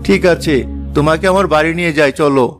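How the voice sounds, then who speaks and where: A man playing a kindly, protective young woodcutter father with a gentle, reassuring manner in a fairy-tale cartoon. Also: on the high side, quick pace, faint music behind, close to an everyday voice.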